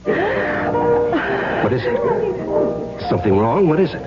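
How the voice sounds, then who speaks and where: A man's voice: extremely high pitch, huge pitch swings, drawn-out words, heavily acted. An American man acting in a 1970s radio drama as an earnest, pressing husband searching for his missing wife. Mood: concerned, pressing. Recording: dull old radio recording, loud music.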